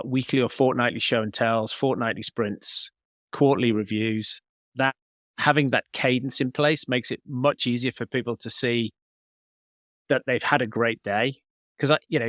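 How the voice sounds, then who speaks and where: A man speaks as an interview guest in a coaching role. His pitch is average for a man, and he explains things conversationally, step by step. The sound is dry, with very little room. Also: a bit bright, no echo. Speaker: business coach, podcast guest.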